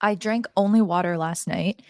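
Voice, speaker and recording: A woman with a hoarse, raspy voice chatting straight to her viewers in a casual album review vlog, speaking into a handheld microphone. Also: on the low side, normal speed, dark tone, slight background noise. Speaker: music reviewer.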